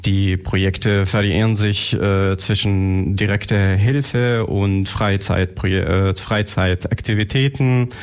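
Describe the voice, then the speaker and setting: Slow, gravelly, hesitant man. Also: fairly low pitch, steady tone. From Germany. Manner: hesitant, many fillers. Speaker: guest, association board member. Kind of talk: radio interview.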